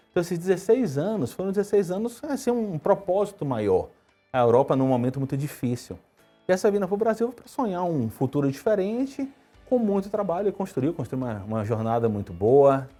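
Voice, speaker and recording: A man from Salvador in his fifties talks fast and fluently about his life, in a husky, mellow voice. He tells his story in a conversational way. Soft music plays faintly behind him. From Brazil (Salvador, Bahia). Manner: quick, storytelling, lively pitch swings. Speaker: interview guest, IT executive. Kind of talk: conversational interview answers.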